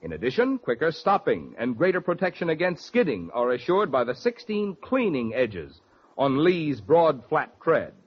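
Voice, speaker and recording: A man's voice: a little high, big pitch swings, normal speed, husky. A man working as a 1940s radio announcer, reading advertising copy in a polished, emphatic way. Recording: dull vintage radio recording.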